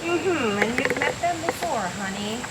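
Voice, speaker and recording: A woman's voice: fairly high pitch, lively intonation, a little slow. A woman playing a sultry, cool and dangerous gangster's moll in a radio detective drama, sly and flirtatious. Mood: coolly threatening. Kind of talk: mid-century radio femme fatale acting. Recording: very noisy old radio recording.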